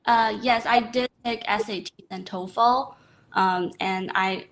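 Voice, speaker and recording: A young woman from Myanmar speaks as a guest in an online interview. Her voice sits at a middle pitch. She talks hesitantly, filling pauses with ums and uhs. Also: fairly even intonation, fairly bright tone. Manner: hesitant, many fillers. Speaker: guest scientist. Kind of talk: webinar interview.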